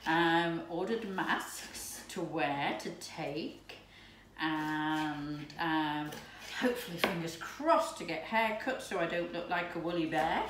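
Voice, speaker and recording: Woman, fifties, chatty and excitable, home kitchen, reverberant room, noisy background. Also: deep voice. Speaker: lifestyle vlogger. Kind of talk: casual chat to camera. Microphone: camera's built-in mic from a few steps away. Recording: echoey room, distant mic, background noise.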